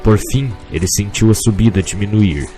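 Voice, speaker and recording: Male storyteller, low voice, solemn literary delivery, scripted narration, faint music behind. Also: normal speed, warm tone.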